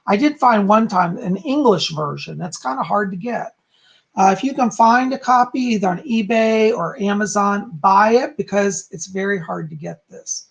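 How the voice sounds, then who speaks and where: A calm, soft-spoken man who is hoarse and losing his voice, teaching on a livestream and reading aloud from a book. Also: high-pitched, normal speed, highs cut off. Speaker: psychic teaching about folk magic.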